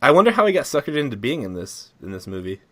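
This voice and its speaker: A man's voice: medium pitch, big pitch swings, fast, a bit bright, no room sound. A man in a casual comedic podcast chat, playfully reacting with mock earnestness. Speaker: podcast panelist.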